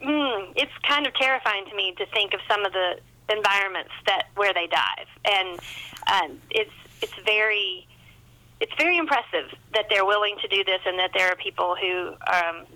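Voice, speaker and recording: Bright-voiced woman, chatty interview guest. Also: medium pitch, normal speed, poor audio. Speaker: author, podcast guest. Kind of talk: casual interview conversation.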